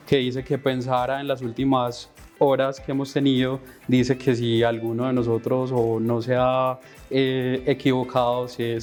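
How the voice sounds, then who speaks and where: A man hosts a podcast, speaking in an earnest way and pausing to restart while he frames his questions. His voice is at an ordinary pitch, and soft music plays faintly underneath him. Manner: earnest, many fillers and restarts when asking questions. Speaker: podcast host. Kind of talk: podcast interview hosting.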